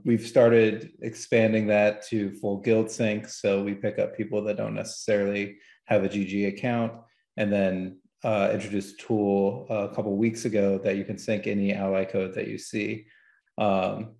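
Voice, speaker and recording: Echoey room, lowish guy voice, podcast guest chatting casually, kinda halting and modest. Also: creaky, warm tone. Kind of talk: casual interview talk. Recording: roomy, echoey sound.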